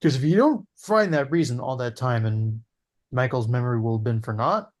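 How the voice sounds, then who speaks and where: A man's voice: mid-range voice, moderate pace, close to an everyday voice. A man voicing an earnest, rambling man who pushes his friend not to give up, at a table read.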